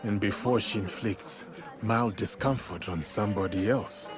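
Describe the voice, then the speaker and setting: A man playing a stern, wary samurai in a video game, speaking in a measured, serious manner. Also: lowish voice, lightly acted. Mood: suspicious. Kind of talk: dramatic game voice acting. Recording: loud music behind.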